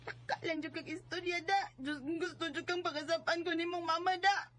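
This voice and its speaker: A woman's voice: very high-pitched, fairly even intonation, fairly quick, fairly bright tone, slight background noise. A woman playing a defiant, sulky sixteen-year-old girl who whines and talks back in a radio drama, with a Cebuano Filipino accent. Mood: defiant, annoyed. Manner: whiny, talking back. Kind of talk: Bisaya radio drama acting.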